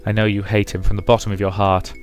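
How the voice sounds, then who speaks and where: Loving but firm young daughter in a visual novel read by a man, measured and tender, lowish, over music. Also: level tone, natural voice. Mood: forgiving. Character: gentle, resolute grown daughter, loving and forgiving. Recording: music behind the voice.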